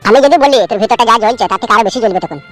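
A grumpy cartoon village husband with an unnaturally high voice. He scolds crudely and sarcastically at great speed over faint music. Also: rapid-fire, fairly bright tone. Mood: resentful. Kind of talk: comedy cartoon dubbing.

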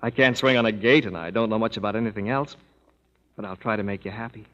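An actor playing an earnest, gently witty young man proposing marriage in a 1930s radio drama. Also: lowish voice, quick pace, natural voice. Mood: tender. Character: earnest young suitor Felix, warm and witty. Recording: muffled old radio broadcast in a hall.

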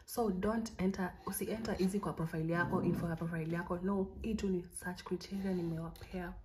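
A woman's voice: on the low side, steady tone, quick pace, darkish tone, a little hiss or hum. Chatty Kenyan woman vlogger. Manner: chatty, encouraging, switches between languages. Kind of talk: casual tutorial talk mixing Swahili and English.

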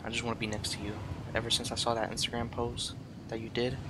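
A man's voice: mid-range voice, monotone, a little fast. A man streaming a shooter game, chatting and flirting jokingly with strangers, his Spanish broken, over a headset. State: tired, late at night. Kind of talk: casual game chat with strangers. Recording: loud game noise behind.

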